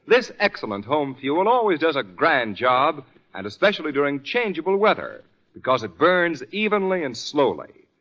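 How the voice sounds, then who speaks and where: Animated American man, vintage radio announcer. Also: a little high. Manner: emphatic, dramatic, polished. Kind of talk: 1940s radio announcing, scripted narration. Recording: muffled old radio recording, faint music. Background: faint music.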